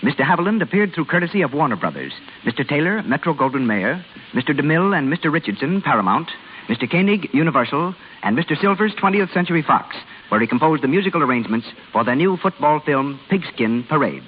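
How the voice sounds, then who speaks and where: A man's voice: fairly high pitch, big pitch swings, fast. A man working as a radio announcer, reading out credits in a formal 1930s broadcast style. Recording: tinny, hissy 1930s radio recording.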